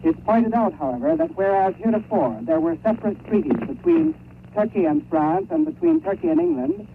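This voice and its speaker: High-pitched man on tinny old shortwave radio. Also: sing-song, a little fast, gravelly. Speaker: radio news correspondent. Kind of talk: scripted 1930s radio news report. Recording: crackly 1930s shortwave radio, tinny and narrow.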